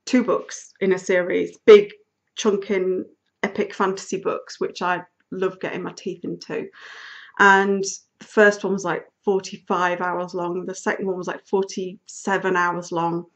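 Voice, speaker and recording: A woman's voice: on the low side, lo-fi sound. Sniffly woman chatting. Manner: chatty, rambling, with frequent ums and laughs. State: sniffly from a cold. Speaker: podcast host. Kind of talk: casual knitting video podcast chat.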